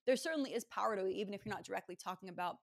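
Brief: woman podcast host, fast conversational questions, easygoing. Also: medium pitch, vocal fry. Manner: quick, lively questions with laughs. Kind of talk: casual interview conversation.

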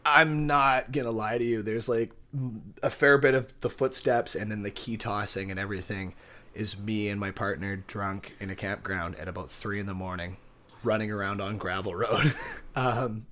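A man's voice: on the low side, fairly even intonation, normal speed, sharp tone, slight background noise. A young man, a podcast co-host, chatting casually and self-deprecatingly about making his audio play. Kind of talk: casual chat and hammy audio play acting.

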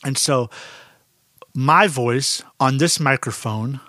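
A man with a naturally dark voice, giving a relaxed, conversational lesson with plenty of fillers and restarts. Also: medium pitch, takes it slowly, no room sound, clean studio sound. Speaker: audio instructor. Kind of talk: casual explanatory lesson.

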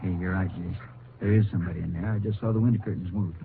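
A man's voice: sits low, fairly even intonation, fast talker, creaky, over music. An American man playing an observant, plainspoken small-town Texas sheriff in a 1950s radio drama. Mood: brisk, alert. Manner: folksy, plainspoken. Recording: noisy, tinny 1950s radio recording.

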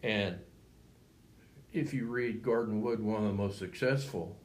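Deep-voiced older coach, rambling. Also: male voice, darkish tone. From Texas. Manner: rambling, halting, with many restarts. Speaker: retired coach. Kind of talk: reflective interview talk.

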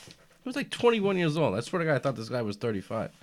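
A young man in casual conversation, emphatic and animated, dropping "man" and "dude" as he argues his point. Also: medium pitch, fast talker. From United States, family from Albania. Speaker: podcast guest. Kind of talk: casual chat.

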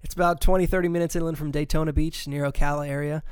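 A man's voice: fairly high pitch, flat delivery, fast, clean tone, no echo, studio quality. A man from Central Florida, a podcast guest, speaking in an easygoing, self-deprecating casual chat.

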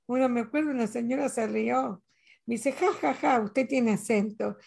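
A woman's voice: on the high side, moderate pace, creaky, darkish tone, breathy edge. An older Latin American woman talking in a casual conversation, earnest and reflective, telling personal stories. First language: Spanish.

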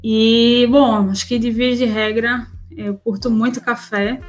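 A woman's voice: a little high, little pitch movement, measured pace, soft background music. A woman with a Northeastern Brazilian accent chatting casually as an interview guest, informal and full of tag questions. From Recife, northeastern Brazil. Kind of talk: casual chat.

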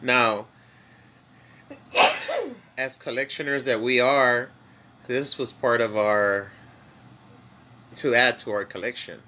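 Bright-voiced man with a Mexican accent. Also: medium pitch, slow pace, long pauses, a little hiss or hum. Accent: Mexican Spanish. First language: Spanish. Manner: relaxed rambling, frequent fillers and restarts. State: high. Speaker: podcast co-host. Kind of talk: casual chat.

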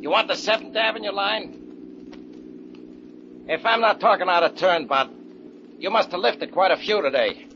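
Blunt, fed-up cabbie, higher-pitched voice. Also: male voice, moderate pace, pauses often, bright, forceful tone. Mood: exasperated. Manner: blunt, streetwise. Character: exasperated, tough big-city cab driver. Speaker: actor. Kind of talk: 1940s radio drama acting. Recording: noisy, muffled vintage radio recording.

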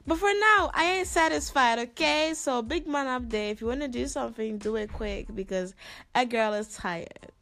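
A woman's voice: fairly high pitch, moderate pace, fairly bright tone. A woman in her twenties hosting a casual solo podcast in a sassy, slangy, theatrically complaining way, as if venting to her listeners. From Ghana, lived there six plus years. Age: 20s. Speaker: podcast host.

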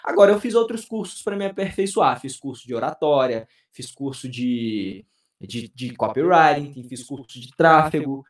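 A man, a social media manager talking as a podcast guest, speaking fast and often stumbling over his words. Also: medium pitch, expressive, echoey room, recorded from a distance. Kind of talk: casual podcast chat.

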